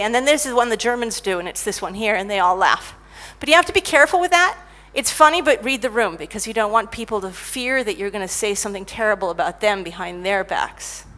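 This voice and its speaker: A middle-aged woman giving a conference talk about technical writing, lively and self-mocking as she tells stories. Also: on the high side. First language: English. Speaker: conference speaker, technical writer.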